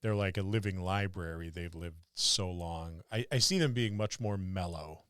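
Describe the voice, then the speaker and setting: A man with a low, very clean and smooth voice talks casually as a podcast co-host. He muses as he goes, thinking aloud, and the sound is dry and close. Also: moderate pace, a bit bright, no room sound. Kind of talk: casual tabletop gaming discussion.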